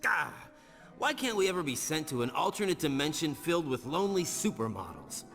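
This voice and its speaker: An actor playing a young, joking, complaining superhero in a game. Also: male voice, on the high side, very animated, a little fast, fairly bright tone. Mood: whiny. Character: whiny, wisecracking young male superhero. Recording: long reverb with music.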